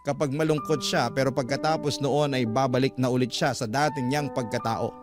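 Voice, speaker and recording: A man's voice: mid-range voice, fairly even intonation, fairly quick. A man with a Filipino accent reading a dramatic first-person story as a radio drama narrator. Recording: guitar music behind. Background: plucked guitar music.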